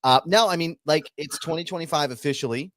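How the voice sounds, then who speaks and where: A man turning forty who hosts a casual show, upbeat and quick to laugh. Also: a little high, a bit bright, no room sound. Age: 30s. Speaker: host. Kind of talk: casual chat.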